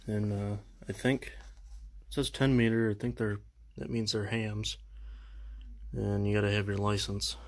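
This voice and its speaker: A young man talks through his gear in a low, steady voice that barely rises or falls. He sounds casual and unsure, pausing often and trailing off with fillers as he shows his collection. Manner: unsure, hesitant, many fillers and trailing phrases. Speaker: vlogger showing his radio collection. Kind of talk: casual show-and-tell walkthrough.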